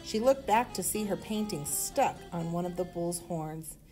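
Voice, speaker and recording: A woman reading a children's story aloud in an expressive manner. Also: on the low side, a little slow, faint music behind, low-quality recording. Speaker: storybook reader.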